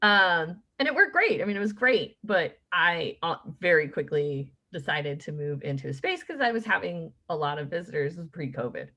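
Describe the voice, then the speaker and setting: A woman in her late thirties hosting a podcast, talking in a chatty, animated way with many fillers. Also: fairly low pitch, moderate pace, hardly any pauses. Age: late 30s. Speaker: podcast host. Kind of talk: casual chat.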